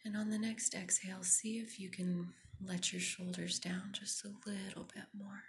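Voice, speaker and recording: A woman guiding a meditation in a soft, breathy near-whisper, gentle and soothing. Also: mid-range voice, little pitch movement, normal speed, background noise. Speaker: meditation guide, podcast host. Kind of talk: guided meditation.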